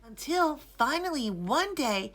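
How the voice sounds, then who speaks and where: Bright, expressive woman reading a children's story. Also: sits high, a little slow. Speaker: storybook reader. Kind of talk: scripted children's story narration, read aloud.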